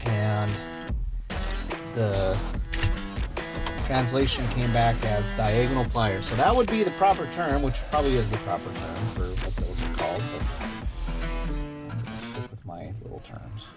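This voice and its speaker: A man's voice: mid-range voice, animated, unhurried, pauses often, loud music behind the voice. A middle-aged man vlogging while he does wiring repairs in his workshop, chatting loosely and muttering at the parts as he works. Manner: rambling, self-talking while working, mutters at parts. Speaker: vlogger doing truck and shop repairs. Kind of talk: casual chat while working.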